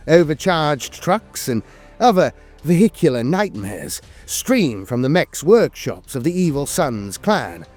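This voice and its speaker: A man's voice: fairly high pitch, a little mellow. A man reading scripted fantasy lore in a storyteller's delivery, as a narrator. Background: music.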